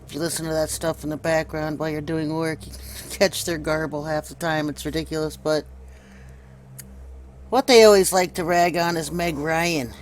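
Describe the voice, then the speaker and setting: A man with a somewhat high voice gives an informal commentary as a video host. He insistently directs viewers to what he is showing. There is faint noise behind him. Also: fairly even intonation, normal speed, low-quality recording. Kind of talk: informal conspiracy commentary over pictures.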